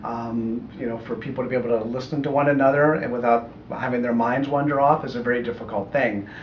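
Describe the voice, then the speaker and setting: A middle-aged American man explaining his audiobook work in a measured, thoughtful way during an interview. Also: mid-range voice, fairly even intonation. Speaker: audiobook producer being interviewed. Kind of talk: conversational interview answers. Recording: echoey room, muffled, faint noise.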